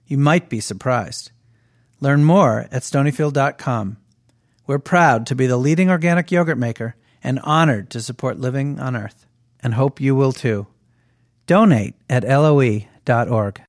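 A man's voice: mid-range voice, moderate pace, dry recording. Male sponsor read; plain delivery, dry close-mic sound. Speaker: sponsor announcer.

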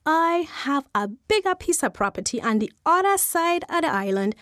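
A woman's voice: sits high, sing-song, moderate pace, a bit bright, no room sound, clean studio sound. A woman doing a fed-up seller. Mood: exasperated. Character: exasperated island land seller, man.

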